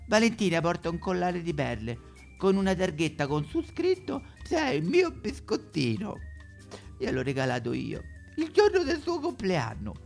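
Man playing a grumbling Roman mongrel dog, very animated. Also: high-pitched, moderate pace, creaky, soft background music, full character voice. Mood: pleading, mournful. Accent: Roman Italian. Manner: grumbling, colloquial, exaggerated complaining. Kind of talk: satirical theatrical monologue.

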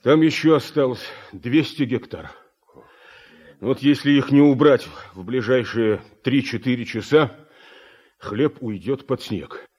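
An actor playing an earnest Soviet party secretary in a radio drama, speaking in a measured, official yet sincere way. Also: male voice, medium pitch, darkish tone, breathy edge, highs cut off. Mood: earnest, grave. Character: earnest Soviet party secretary rallying exhausted farm workers.

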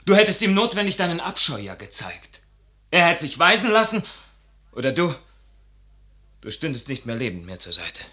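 Young male lead: idealistic officer son, highish, bright, impassioned, from hushed to crying out, classical radio-play verse. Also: very animated, normal speed, pauses often. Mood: shocked, disbelieving. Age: young adult.

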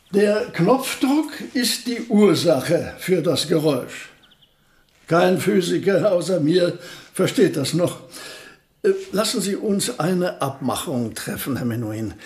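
An elderly physicist who is proud of his precision. His voice sits high, and he lays out every point with measured, pedantic care in this radio drama. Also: darkish tone.

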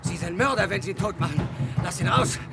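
A man's voice: high voice, brisk, vocal fry, over loud music. An actor playing a desperate, mentally disturbed man who talks in short, obsessive, childlike phrases in a vintage radio crime drama. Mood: agitated pleading.

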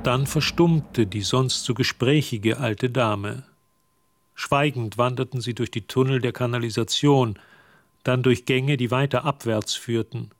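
An actor as a measured, literary narrator of a suspenseful radio drama. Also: male voice, medium pitch, lightly acted. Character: measured storyteller of a suspense tale.

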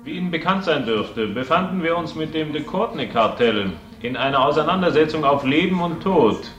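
A man's voice: fairly high pitch, animated, normal speed, faint music behind. An actor playing a grandiose, domineering corporate magnate addressing his staff in a radio play, with a dull echoing sound. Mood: triumphant, pompous. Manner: pompous, forceful.

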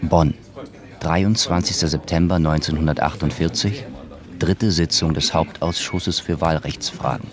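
A man announcing speakers and their parties in a crisp, matter-of-fact way for a radio documentary drama. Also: a bass voice, fairly even intonation, a little slow, crowd noise. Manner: clipped, matter-of-fact naming of people and parties.

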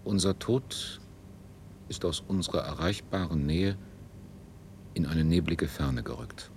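An actor playing a smug, pompous aging king in a science fiction radio drama, savouring his words in a gravelly voice. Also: very deep voice, unhurried, darkish tone, a little hiss or hum. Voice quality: gravelly, creaky.

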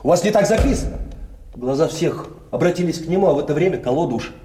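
An actor playing a cool-headed, proud card sharp in a theatrical radio play, speaking deliberately and with self-assurance. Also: male voice, fairly high pitch, lively intonation, distant mic, a little hiss or hum, lightly acted. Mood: knowing, animated. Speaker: stage actor.

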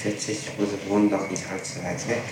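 A man's voice: low voice, flat delivery, fairly quick. A man putting formal, probing questions as an interrogator in a recorded interrogation. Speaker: police interrogator. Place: echoey interrogation room. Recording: very noisy, echoey archival tape.

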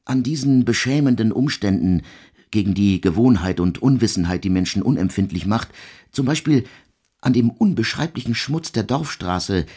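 An actor playing a cultivated composer recounting his travels in a literary radio drama, in a measured, emphatic and formal manner. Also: lowish voice, animated, warm tone, breathy edge. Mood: measured, indignant.

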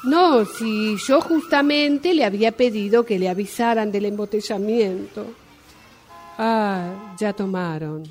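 A woman's voice: medium pitch, slow pace, a little mellow, faint music behind, lightly acted. A woman acting a polite, flustered job applicant, hesitant and apologetic on the phone, in a radio drama. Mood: flustered, pleading. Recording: amateur workshop recording, sirens and traffic noise.

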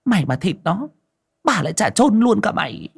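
A man doing a shrill scolding old wife. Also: very animated, normal speed, vocal fry, husky, exaggerated voice. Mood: indignant. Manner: scolding, high-pitched. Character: shrill nagging older wife, mistress of house.

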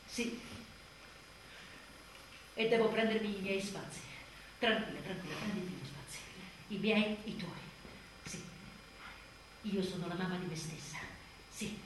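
A woman's voice: medium pitch, lightly acted. An actress playing an exhausted young mother on the edge of breakdown in a theatrical monologue, repetitive and volatile as she tries to soothe herself. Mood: forced resolve. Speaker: stage actress. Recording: very echoey, distant, noisy stage recording.

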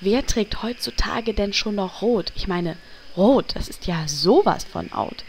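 An actress playing a catty, vain, jealous young heroine in a parody audio drama, snippy and exaggerated. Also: female voice, medium pitch, lively intonation, measured pace, a little mellow, slight background noise.

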